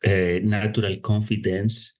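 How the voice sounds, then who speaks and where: A man's voice: lowish voice, little pitch movement, a little slow, a little mellow. A man from Colombia with a Colombian Spanish accent, a guest youth worker talking reflectively in a casual interview over a remote call. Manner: reflective, thoughtful, says "you know" often.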